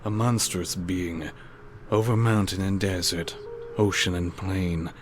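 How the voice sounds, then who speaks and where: A weary, dying old man of science, as an actor plays him, deep-voiced and slow. His delivery is grave and theatrical, bitter and resigned, in the manner of a melodramatic horror audio drama. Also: steady tone, noisy background. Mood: bitter, exhausted.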